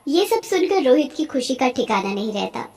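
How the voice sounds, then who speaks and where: Woman narrator for cartoon stories, fairly high voice, scripted storytelling. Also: sing-song, moderate pace, darkish tone.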